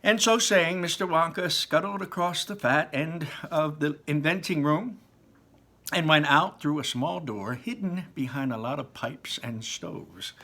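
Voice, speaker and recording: A man's voice: a little high, unhurried, pushed and bright. A man reading a children's book aloud, telling the story expressively with small slips now and then. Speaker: reader.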